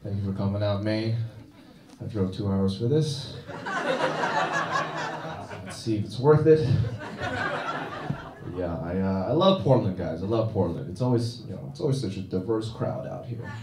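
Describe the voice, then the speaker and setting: A man's voice: fairly low pitch, animated, normal speed, pauses often, echoey room, recorded from a distance, heavy background noise. A man doing a stand-up comedy set with a dry, self-deprecating delivery and frequent "uh" restarts, recorded on a comedy club stage. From China.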